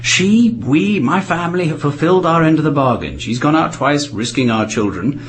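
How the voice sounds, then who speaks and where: An actor playing a protective, anxious British intelligence officer and husband in a radio drama, with a British accent. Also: male voice, on the high side, lively intonation, normal speed, close to an everyday voice. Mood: angry, insistent. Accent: British English.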